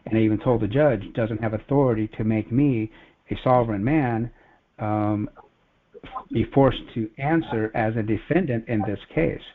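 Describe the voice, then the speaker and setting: A man giving an earnest, rambling, casual personal account on a conference call. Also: medium pitch. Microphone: telephone conference call. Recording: phone-like conference call audio.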